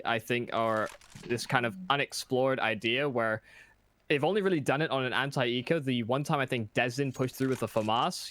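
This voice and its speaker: A man giving live esports commentary, measured and analytical, breaking down team tactics. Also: medium pitch, fairly bright tone, a little hiss or hum. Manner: measured, analytical, some restarts. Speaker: esports caster.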